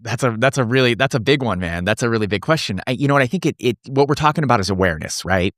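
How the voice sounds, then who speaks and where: A man's voice: medium pitch, expressive, rapid-fire, a bit bright, dry recording, clean studio sound. A man in his forties, a coach speaking as a podcast guest, earnest and thinking aloud with frequent restarts. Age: 40s. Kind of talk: casual podcast conversation.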